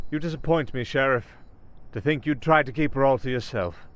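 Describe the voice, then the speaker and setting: A man playing a sly, menacing werewolf gang leader in an ASMR roleplay, smooth-talking and mocking with false politeness. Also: mid-range voice, moderate pace, lo-fi sound, lightly acted. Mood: scornful, threatening. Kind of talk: ASMR roleplay villain acting.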